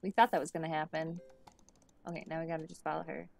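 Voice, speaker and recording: A congested woman in her thirties chats her way through a life-simulation game from a headset at home. Her voice sits a little low, and she rambles on and thinks aloud. Game music plays under her. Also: flat delivery, quick pace, creaky, darkish tone. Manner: chatty, thinks aloud, sniffly from allergies. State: stuffed-up nose. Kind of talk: casual gameplay commentary. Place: home room at a desk.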